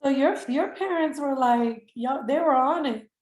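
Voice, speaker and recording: A woman hosting an interview, gushing and effusive, with halting fillers. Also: sits high, a little mellow, echoey room, slight background noise. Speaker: interview host. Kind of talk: enthusiastic interview chat.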